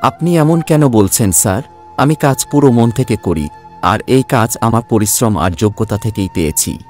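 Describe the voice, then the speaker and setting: A man's voice: mid-range voice, animated, moderate pace, darkish tone, faint music behind, lightly acted. A man playing a self-centred young working son from a village in a cartoon story, speaking emotionally. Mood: protesting, confused.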